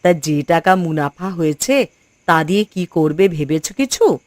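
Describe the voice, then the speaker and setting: A woman voicing a caring middle-aged village wife in a cartoon, speaking in a supportive, expressive way. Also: on the low side, a little slow, no room sound. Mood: warm supportive. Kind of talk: cartoon voice acting.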